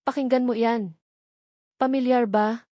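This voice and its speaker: A woman's voice: on the high side, fairly even intonation, a little slow, mellow, no room sound, clean studio sound, natural voice. A woman voicing a wealthy young man in a Tagalog romance story, cold, scornful and bitterly accusing, with an authoritative manner. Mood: furious, accusing.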